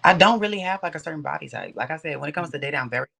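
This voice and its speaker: A young man in his twenties from New Orleans with a gravelly, bright edge to his voice. He talks in a relaxed, slangy way and lets his sentences trail off with "you know". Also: a little high, very fast. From New Orleans, USA. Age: 20s. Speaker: guest contestant. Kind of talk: casual chat.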